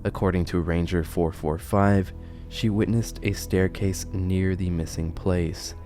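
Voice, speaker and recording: A man's voice: deep voice, steady tone, measured pace, dark tone, faint music behind. A man narrating and commenting on horror videos as an online host.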